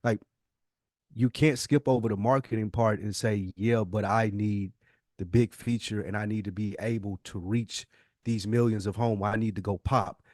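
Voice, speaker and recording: A man's voice: on the low side, fairly even intonation, normal speed, darkish tone, no echo, clean studio sound. An American man co-hosting a podcast, talking casually in long, emphatic, opinionated explanations. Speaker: podcast co-host. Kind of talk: casual conversational discussion.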